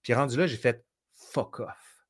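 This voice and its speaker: A man's voice: medium pitch, unhurried. A man with a Quebec French accent, a guest telling stories in casual conversation and acting out his own thoughts. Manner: animated storytelling, acts out quoted inner voices.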